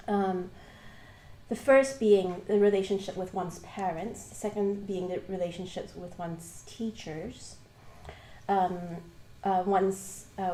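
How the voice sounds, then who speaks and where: A woman from Malaysia, teaching a live Buddhist class and asking the group questions, pausing often with ums and restarts. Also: lowish voice, dark tone, slight background noise. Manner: hesitant, many ums and restarts. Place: shrine room with a live class. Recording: roomy hall ambience.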